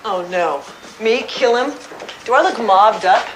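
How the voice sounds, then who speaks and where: A woman's voice: lowish voice, moderate pace, background noise, lightly acted. A woman playing a blunt, defiant retired producer in her fifties in an audio drama, with a sardonic, bitter manner.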